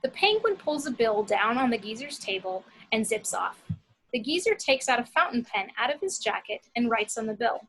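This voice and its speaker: A woman's voice: on the high side, big pitch swings, normal speed, a bit bright, a little hiss or hum, low-quality recording. A woman reading scripted action descriptions as narrator in an amateur screenplay table read.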